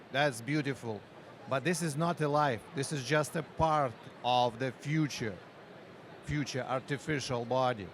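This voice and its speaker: Ukrainian guy explaining his startup, slow halting english, lots of uhs, faint traffic behind. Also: mid-range voice. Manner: halting non-native English, frequent pauses and fillers. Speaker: company founder and researcher. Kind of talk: conference interview. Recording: faint street and vehicle noise.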